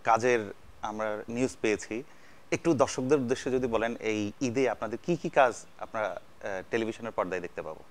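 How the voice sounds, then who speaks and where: A man's voice: fairly low pitch, brisk. A man from Bangladesh hosting a casual celebrity chat show, joking and heaping compliments on his guests. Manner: jokey, effusively complimentary to guests. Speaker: TV show host.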